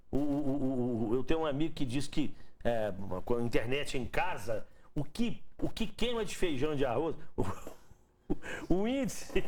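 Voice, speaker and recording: A man's voice: on the high side, a little mellow, slight background noise. Chatty joking man host. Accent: Minas Gerais Portuguese. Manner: chatty, joking, repeats words while searching. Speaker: interviewer host. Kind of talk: casual interview chat.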